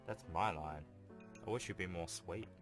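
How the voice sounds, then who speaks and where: A man's voice: sits low, moderate pace. A man hosting a gaming video, reading a visual novel aloud in a casual way with informal offhand commentary. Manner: informal, makes offhand asides between read passages. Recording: game music playing behind the voice. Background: game music.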